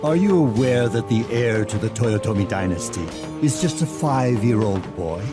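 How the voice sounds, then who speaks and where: A man playing a devoted older samurai lord in a game cutscene, speaking in a measured, courtly, theatrical way. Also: on the low side, expressive, over music, close to an everyday voice. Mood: shrewd. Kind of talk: dramatic game cutscene acting.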